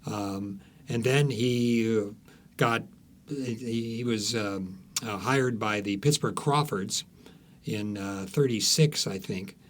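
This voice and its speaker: A man speaking as an author guest on a podcast, telling stories conversationally in a halting, emphatic way. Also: on the low side. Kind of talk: conversational storytelling in an interview.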